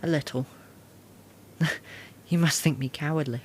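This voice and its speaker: A man's voice: a little high, fairly even intonation, measured pace, slight background noise, natural voice. A man playing a kind, polite teenage village boy, hesitant and earnest, in a period audio drama. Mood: shy, uneasy.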